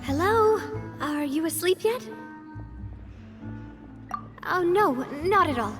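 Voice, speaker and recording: A confident young woman leader speaks in a high, breezy voice. She sounds assured, friendly and playful in a video game style, with music clearly playing behind her. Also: expressive, slow, exaggerated voice.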